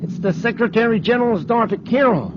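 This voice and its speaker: An actor playing a shrewd, commanding gang boss of space crooks, curt and bossy, in a 1950s radio adventure serial. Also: male voice, very high-pitched, fairly quick. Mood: curt, irritated. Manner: curt, bossy tough talk. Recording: dull, noisy old radio recording.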